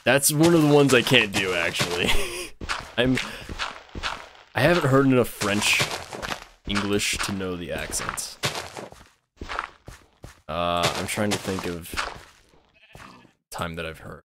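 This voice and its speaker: Deep-voiced rambling American streamer guy. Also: slow, pauses often, heavy background noise. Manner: rambling, self-interrupting, chatting with viewers. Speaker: livestreamer. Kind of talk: casual gaming livestream chat.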